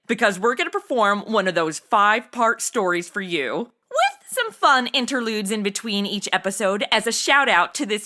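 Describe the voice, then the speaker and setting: Animated woman hosting a podcast. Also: mid-range voice. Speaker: podcast host. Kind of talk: upbeat scripted show introduction.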